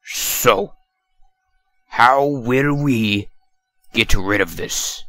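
A man's voice: mid-range voice, drawn-out words, sharp tone, no room sound, exaggerated voice. A man voicing a grumpy, sarcastic middle-aged cartoon villain in a comic dub. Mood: exasperated.

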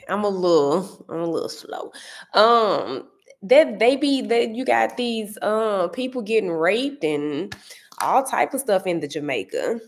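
Woman, St. Louis accent, thirties, casual vlog chat, animated, searches for words, home room, mid-range voice. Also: medium pitch. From Saint Louis area. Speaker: vlogger answering viewer comments.

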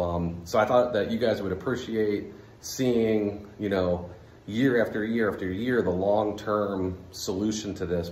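A man in his forties filming himself with a phone in a concrete basement, talking casually with many fillers as he shows a home repair. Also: lowish voice. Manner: relaxed, rambling, many fillers. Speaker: homeowner vlogger. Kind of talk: casual DIY walkthrough. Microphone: phone at arm's length. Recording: echoey basement with faint hum.

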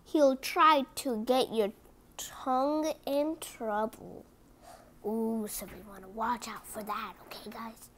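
A woman hosting a children's picture book read-aloud, reading deliberately word by word and chatting to her listeners. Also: a little high, fairly even intonation, fairly bright tone, slight background noise.

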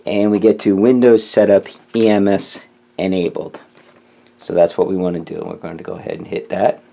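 Low-pitched man with a gravelly edge. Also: flat delivery, normal speed, warm tone. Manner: informal, step-by-step instruction. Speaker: tutorial host. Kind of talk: casual how-to narration.

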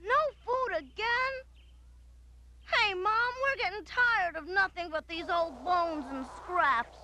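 A woman voicing a whiny, excitable little wolf pup in a cartoon. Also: extremely high pitch, takes it slowly, noisy background. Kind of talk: cartoon voice acting.

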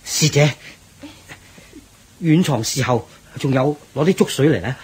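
An actor playing a courteous, attentive temple organiser in a radio drama, speaking politely and deliberately. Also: male voice, mid-range voice, a little mellow, husky. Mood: organising. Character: courteous, attentive man helping host a temple gathering. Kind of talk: Cantonese radio drama acting.

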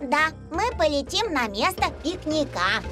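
A performer voicing a cheerful, excitable little animal child in a children's cartoon, friendly and simple. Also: sits high, measured pace, over music. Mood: excited.

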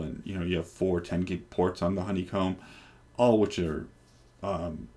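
A man in his forties on a headset mic, casually talking through a software install with many ums and restarts. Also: low voice. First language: English. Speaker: developer presenting hardware. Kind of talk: casual screen-recorded tech demo. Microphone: headset microphone.

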